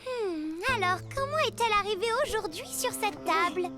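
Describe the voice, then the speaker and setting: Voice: very high voice, animated, normal speed. Une comédienne de dessin animé qui joue une jeune capitaine pirate, hardie, joyeuse et pleine de bon sens, qui s'exclame et mène la troupe. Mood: firm, reassuring. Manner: exclamatory, take-charge. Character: bold, cheerful, level-headed young pirate girl captain. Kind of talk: children's cartoon dubbing. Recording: loud music behind the voice.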